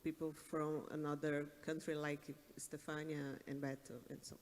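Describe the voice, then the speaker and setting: Guy moderating a panel, kinda higher voice, slow and halting, big echoey hall. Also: completely flat delivery. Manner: hesitant, halting phrasing. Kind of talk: panel interview questioning, halting. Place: large indoor conference hall.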